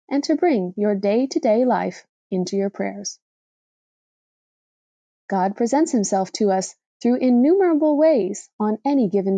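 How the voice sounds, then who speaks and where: Higher-pitched, soft-toned woman reading an essay. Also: dry recording. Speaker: reader of a blog post for a podcast. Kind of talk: scripted narration, reading a reflective religious essay aloud.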